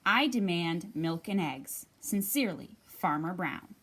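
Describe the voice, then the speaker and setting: A woman's voice: fairly low pitch, expressive, measured pace, a bit bright, voice pushed down. A woman voicing a stubborn, indignant male farmer from a picture book, exclaiming and demanding emphatically. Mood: stern, demanding.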